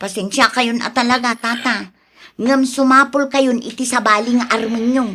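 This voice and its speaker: A woman's voice: on the high side, steady tone, normal speed, a bit bright. A woman playing a young single woman fending off old suitors in a radio drama, plain-spoken and exasperated, with an Ilocano accent. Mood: exasperated, apologetic.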